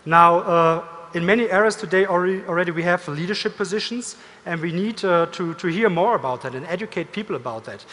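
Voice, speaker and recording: A middle-aged man giving a corporate keynote presentation in a measured, deliberate way, pausing as he goes. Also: high-pitched, pushed and bright, slight background noise. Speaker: company executive, keynote speaker. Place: large indoor conference stage. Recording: reverberant hall, stage amplification.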